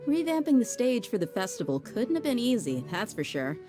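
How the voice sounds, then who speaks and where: A woman's voice: fairly high pitch, sing-song, a little fast, over music. A woman voicing a wry, worldly adult in a game, making dry remarks. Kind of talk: game cutscene voice acting.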